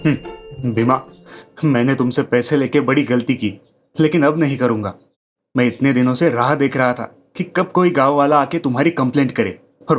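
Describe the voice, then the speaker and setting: A man's voice: mid-range voice, natural voice. A man voicing a beleaguered, resentful village policeman in a cartoon story, shifting between pleading and stern warning.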